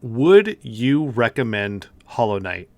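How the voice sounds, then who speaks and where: A man's voice: medium pitch, very animated, slow, darkish tone, no room sound. A man co-hosting a gaming podcast, talking informally and enthusiastically in a casual discussion. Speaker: podcast co-host.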